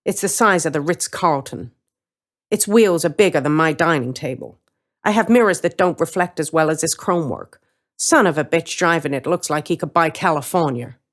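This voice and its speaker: Low-voiced woman telling a streetwise story. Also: moderate pace. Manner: streetwise, wry, colloquial. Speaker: story reader. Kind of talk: first-person scripted narration in character.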